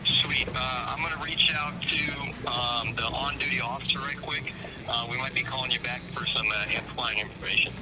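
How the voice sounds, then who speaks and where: A man's voice: medium pitch, fairly even intonation, brisk, over loud music, rough recording. A man working as a coast guard officer takes a call over a phone speaker, politely and procedurally repeating details back.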